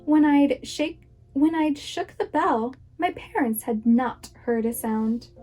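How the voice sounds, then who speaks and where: A woman reading a children's story aloud as a preschool teacher would, with dramatic, deliberate expression. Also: high-pitched, animated, warm tone. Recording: music behind the voice. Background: music.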